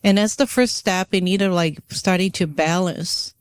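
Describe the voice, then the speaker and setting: Woman cohosting a podcast, reflective, lots of fillers, low-ish voice. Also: normal speed, smooth, no echo. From Brazil. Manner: reflective, searching for words, many fillers. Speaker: podcast co-host. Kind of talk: casual spiritual chat.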